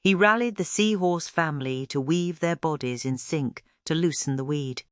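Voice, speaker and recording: A woman's voice: sits low, moderate pace, smooth, no echo, studio quality. A woman reading a scripted children's story aloud as its storyteller.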